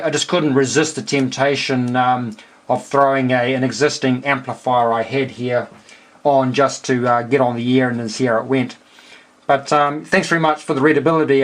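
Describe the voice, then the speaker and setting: A man with a New Zealand accent chatting casually and technically as an amateur radio operator, explaining things in a halting way. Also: medium pitch.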